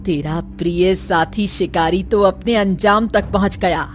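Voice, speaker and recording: A woman playing a haughty, menacing sorceress who rules a forest, with a taunting way of speaking in an animated story. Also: medium pitch, faint music behind, natural voice. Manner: slow, taunting, threatening.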